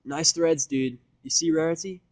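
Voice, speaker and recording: Guy playing a chill dj mare from a cartoon, higher voice, friendly and slangy. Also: normal speed, dry recording, natural voice. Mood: upbeat, friendly. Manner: casual, upbeat, slangy. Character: laid-back, friendly, slangy female DJ cartoon pony.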